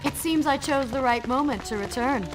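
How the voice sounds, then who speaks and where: An actress playing a curious young princess companion in a video game cutscene, speaking in a poised, gently teasing way. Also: female voice, sits high, normal speed, loud music behind the voice, lightly acted, poor audio.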